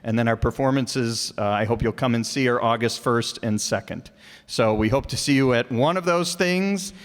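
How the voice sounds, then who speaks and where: A man's voice: mid-range voice, moderate pace. Man making announcements in echoey auditorium. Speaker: community theater member making an announcement. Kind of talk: informal announcement to an audience. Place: community auditorium.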